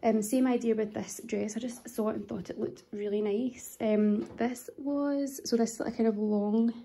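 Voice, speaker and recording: A woman's voice: on the high side, warm tone, breathy edge. A young adult woman chatting casually and unscripted as she films a shopping haul in her kitchen. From United Kingdom. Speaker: vlogger showing a shopping haul. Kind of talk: casual chatty voice-over to camera. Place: home kitchen, indoors.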